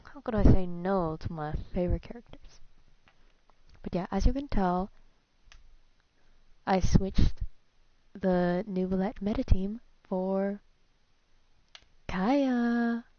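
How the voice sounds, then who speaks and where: A woman's voice: medium pitch, slow, long pauses. A young woman chatting casually and musing aloud as she streams a video game. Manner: musing, informal. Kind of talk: casual chat while gaming. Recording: slightly dull stream audio, game music. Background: faint game music.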